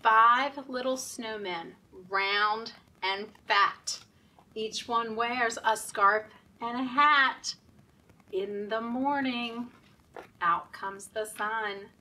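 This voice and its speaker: A woman leading story time for young children in a sing-song, deliberate, encouraging way, often asking the children questions. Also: a little high, pushed and bright, distant mic, low-quality recording.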